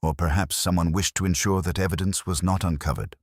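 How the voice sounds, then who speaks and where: A man voicing a keen, coolly commanding Victorian detective in an audiobook, speaking precisely and deliberately. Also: deep voice, steady tone, no room sound, clean studio sound. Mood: analytical. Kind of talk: audiobook character dialogue.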